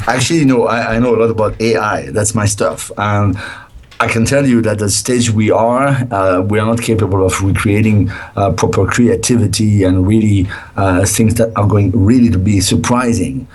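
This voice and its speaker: A middle-aged man, a game developer sounding tired and a bit hoarse, speaking hesitantly with many fillers. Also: lowish voice, moderate pace, a little mellow. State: tired, nearly lost his voice. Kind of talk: casual interview over a call.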